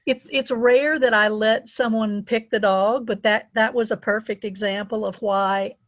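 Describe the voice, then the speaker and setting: A woman's voice: on the high side, steady tone, a little slow, no echo, lo-fi sound. A middle-aged woman, a dog breeder, telling anecdotes casually in an interview. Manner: chatty, anecdotal, laughs between stories.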